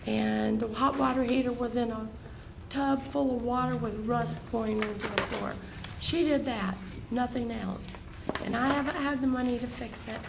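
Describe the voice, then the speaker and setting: A hoarse older woman speaks earnestly, laying out her hardships to a review board. Her voice is heavily gravelly and sits a little high. Noticeable noise runs under the recording of the meeting room. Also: moderate pace, few pauses. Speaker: property owner appealing. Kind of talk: public hearing testimony.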